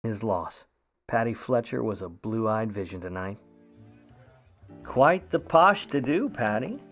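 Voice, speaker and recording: An actor playing a wry, slangy, smooth-talking private eye in an old-time radio noir drama. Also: male voice, lowish voice, expressive, slow pace, fairly bright tone, soft background music, full character voice. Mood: wry, flirtatious. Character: grudging, smooth-talking hardboiled 1950 Hollywood private eye.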